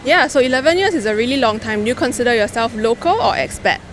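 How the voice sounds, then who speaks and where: A woman hosting a street interview outdoors, asking clear, upbeat questions. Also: a little high, expressive, fast talker, slight background noise.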